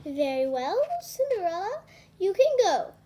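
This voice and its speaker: A woman's voice: extremely high pitch, big pitch swings, unhurried, dark tone, exaggerated voice. A woman voicing a bossy, demanding wicked stepmother in a storybook, with a haughty, commanding manner.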